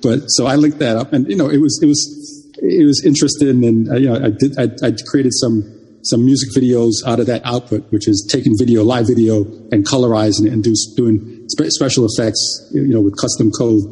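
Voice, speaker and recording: Older man from Jamaica, Jamaican first language, interview guest; mellow, husky voice, halting delivery with a long reverb. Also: mid-range voice. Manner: halting, many restarts and repeated words. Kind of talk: reminiscing interview talk.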